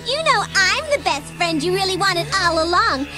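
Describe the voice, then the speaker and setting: A woman's voice: very high-pitched, expressive, normal speed. A woman playing a wicked, taunting magical girl in a dramatised audiobook, theatrical, domineering and mocking. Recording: music under the voice.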